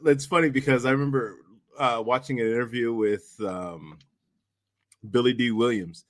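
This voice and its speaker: A man talks casually on a podcast in a medium-pitched voice. He builds each point haltingly, repeating phrases to stress them. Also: fairly bright tone.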